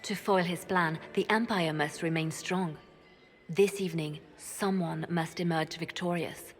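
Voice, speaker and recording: A calm and ruthless spymaster, voiced by a woman, speaking in a low, measured way that stays soft even when the choices are hard. Faint music plays under the game dialogue. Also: lightly acted. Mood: cool.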